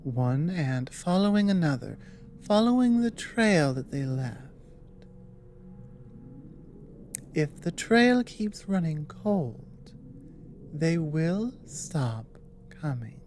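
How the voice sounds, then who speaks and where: A woman playing an earnest, apologetic female dragon in an ASMR audio roleplay, explaining things hesitantly in a soft voice. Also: deep voice, sing-song, slow, a little hiss or hum, heavily acted. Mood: explaining, measured. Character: earnest, apologetic female dragon warning a human ally of war.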